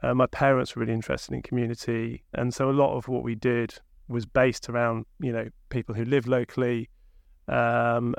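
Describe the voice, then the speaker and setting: A man in his fifties with a broad Sheffield accent, a company chief executive answering interview questions in a relaxed, reflective way. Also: medium pitch, gravelly, dry recording, clean studio sound. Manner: relaxed and reflective, with many fillers. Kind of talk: conversational interview.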